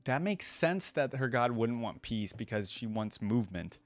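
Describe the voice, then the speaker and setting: A man's voice: medium pitch, very animated, normal speed. A man hosting a reaction video, casually musing and repeating lines as he comments on them. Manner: musing, echoes lines he hears.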